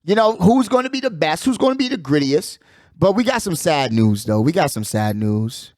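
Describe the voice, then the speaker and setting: A man hosting a casual sports talk show, giving animated, slangy commentary and repeating phrases for emphasis. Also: fairly high pitch, moderate pace, no room sound. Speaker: sports podcast host.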